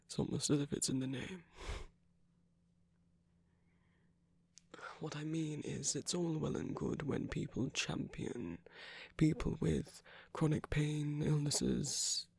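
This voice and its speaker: A man playing a gentle, wry villain who uses magic, speaking softly and haltingly in an intimate ASMR roleplay with a breathy, husky voice. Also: fairly high pitch, no echo, heavily acted. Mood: earnest, wry. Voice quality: breathy, husky, slightly creaky. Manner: measured, halting, hushed delivery with pauses. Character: gentle, wry villain magic user comforting a loved one.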